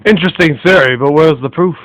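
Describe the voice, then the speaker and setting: Man as a fast-talking, haughty rich gentleman. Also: on the high side, dry recording, lightly acted, low-quality recording. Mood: dismissive. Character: haughty, dismissive wealthy gentleman of the 1940s. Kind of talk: amateur internet radio play acting.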